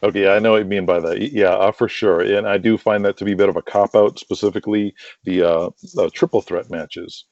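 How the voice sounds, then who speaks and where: A man being interviewed as a guest, chatting casually in an enthusiastic, rambling, halting way. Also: on the low side, steady tone, darkish tone, no room sound, highs cut off. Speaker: interview guest, wrestling promoter and booker.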